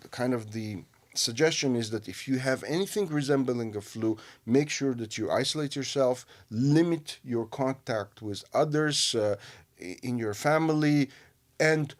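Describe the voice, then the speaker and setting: A man from Armenia with an Armenian accent, co-hosting a news discussion and talking haltingly with many fillers and restarts. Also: mid-range voice. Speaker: radio host.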